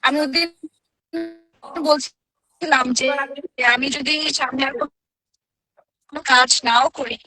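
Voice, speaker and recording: A woman's voice: high-pitched, steady tone, slow, pauses often, over music, low-quality recording. A woman with a Bangladeshi accent, an actress guest speaking effusively and appreciatively in a casual group chat.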